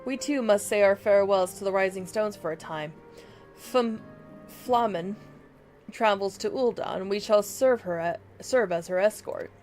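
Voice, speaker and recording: A woman's voice: medium pitch, moderate pace, a little mellow. A woman livestreamer reading fantasy game dialogue aloud in a dramatic way, with game music behind her.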